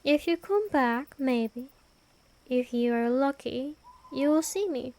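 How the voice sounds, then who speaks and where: A woman playing an ancient vampire queen in an ASMR roleplay, aloof and menacing yet protective, with a measured, formal, imperious delivery. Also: high-pitched, expressive, mellow, heavily acted. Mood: guarded. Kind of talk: ASMR roleplay, scripted fantasy monologue.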